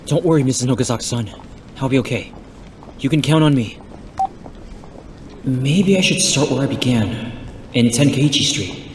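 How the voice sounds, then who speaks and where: Polite young hero played by a man, medium pitch. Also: expressive, normal speed, pauses often, slight background noise, lightly acted. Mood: reassuring. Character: calm, reassuring young man, hero of martial arts drama. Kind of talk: fan audio drama acting.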